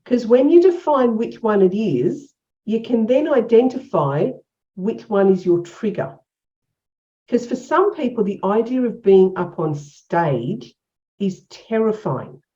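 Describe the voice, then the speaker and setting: A woman with an Australian accent leading an online workshop as a coach, energetic and encouraging, asking many questions. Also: medium pitch, muffled recording. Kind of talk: interactive online workshop teaching. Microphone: video call.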